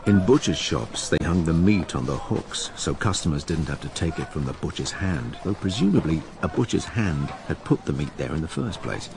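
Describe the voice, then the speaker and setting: A wry writer narrating a radio play, low and gravelly. His delivery swings expressively between musing asides and grim account, with noticeable background noise. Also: big pitch swings, moderate pace, hardly any pauses, full character voice. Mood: musing, confiding. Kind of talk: dramatised first-person narration.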